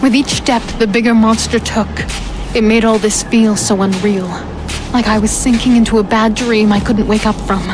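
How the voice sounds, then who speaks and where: A woman's voice: fairly high pitch, steady tone, normal speed, music in the background, close to an everyday voice. A woman playing a defiant human fighter, telling her ordeal in first person as dramatic fantasy storytelling. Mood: tense, reflective. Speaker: narrator and heroine.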